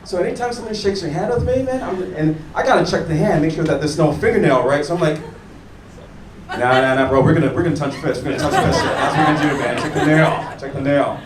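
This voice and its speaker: An American man doing a stand-up comedy set on a club stage, animated and chatting with the audience. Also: a little high, fast. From United States. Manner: animated, mimics locals and chats with the audience. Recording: echoey room taped from far off, crowd noise.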